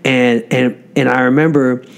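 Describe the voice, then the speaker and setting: A man's voice: mid-range voice, flat delivery, unhurried, creaky. A man, a comedian and podcast host, telling anecdotes casually and repeating phrases for emphasis. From Egypt until age eight, then America. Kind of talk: casual conversational storytelling.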